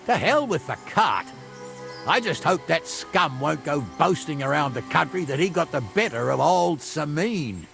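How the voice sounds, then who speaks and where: An actor playing a gruff but kindly older medieval captain in a video game, with a gravelly voice. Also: male voice, fairly high pitch, animated, normal speed, fairly bright tone, faint music behind. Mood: exasperated. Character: gruff older male medieval captain, hearty and begrudgingly kind. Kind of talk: video game drama acting.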